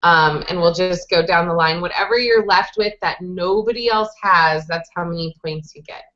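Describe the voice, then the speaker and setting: A woman's voice: on the low side, normal speed, fairly bright tone. A woman in her thirties leading a casual online English lesson, patiently explaining game rules step by step over a webcam video call. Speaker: English conversation teacher. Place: small indoor room with whiteboard. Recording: dull lo-fi video call audio.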